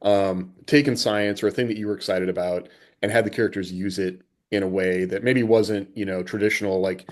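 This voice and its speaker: A man's voice: lowish voice, fairly even intonation, fairly quick. A man co-hosting a podcast, chatting casually as he puts questions and thoughts to a guest. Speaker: podcast co-host. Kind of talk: casual interview chat.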